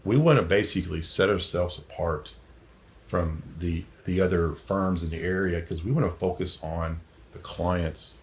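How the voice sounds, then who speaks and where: A man's voice: deep voice, steady tone, normal speed. A man speaks as a guest financial advisor. He talks conversationally in plain words, putting firm emphasis on his points. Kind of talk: conversational podcast interview.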